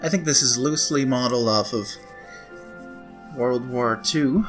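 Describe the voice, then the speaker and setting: A man's voice: mid-range voice, measured pace, faint music behind. A man giving casual, offhand and wry commentary while playing a video game. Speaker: let's play host.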